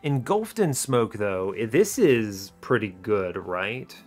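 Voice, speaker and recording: A man giving strategy game commentary as host, thinking aloud in a deliberate, explanatory way and often questioning his own choices. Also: lowish voice, expressive, faint music behind. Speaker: gaming video host.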